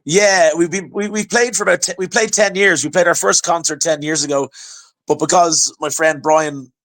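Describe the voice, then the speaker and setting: A man with an Irish accent, talking as a podcast guest. His voice sits a little high, and he tells his stories with lively energy. He often repeats his opening words before getting going. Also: normal speed. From Ireland. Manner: chatty, animated storytelling with repeated restarts. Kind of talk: casual chat.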